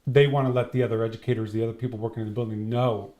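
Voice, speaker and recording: A man of medium pitch talks as an interviewed trainer. He explains in a conversational way, often slipping into quoted dialogue to illustrate his points. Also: fairly even intonation, very fast, mellow.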